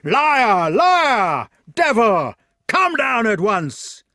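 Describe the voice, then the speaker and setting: A man voicing a fat, bullying villain of a knight in a storybook, shouting with scornful bluster. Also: extremely high pitch, big pitch swings, drawn-out words, no room sound, studio quality, pitched above the performer's own voice, full character voice. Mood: furious.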